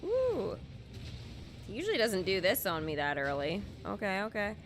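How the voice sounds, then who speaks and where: A woman's voice: mid-range voice, wildly swooping pitch, normal speed, slight background noise. A woman chatting casually to viewers as she gives play-by-play commentary on a game, with informal exclamatory asides. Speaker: live game streamer. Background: video game music.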